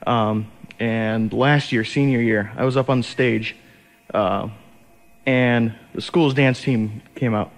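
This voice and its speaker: A man's voice: mid-range voice, fairly even intonation, slow, poor audio. A young man hosting as a college radio DJ, chatting casually between songs in a sleepy, hesitant, rambling way on an over-the-air recording. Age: young adult.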